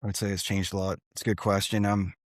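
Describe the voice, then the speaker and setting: A man's voice: low voice, little pitch movement, fast talker, no room sound, studio quality. A man in his thirties chatting casually as an interview guest, earnest and informal, often rambling and breaking off phrases to start again. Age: 30s. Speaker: guest, rock band singer and guitarist.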